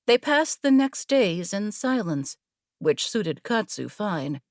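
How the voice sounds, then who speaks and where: A woman reading scripted fiction as an audiobook narrator, in a measured, deliberate, dramatic storytelling manner. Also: mid-range voice, sing-song, no room sound, studio quality.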